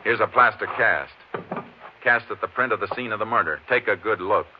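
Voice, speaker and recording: Male actor as stern Texas Ranger, very low voice, clipped and methodical, hissy vintage radio sound. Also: brisk, lightly acted. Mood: stern, probing. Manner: terse, clipped, pressing questions. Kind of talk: 1950s radio drama acting and narration. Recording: muffled, hissy old radio broadcast.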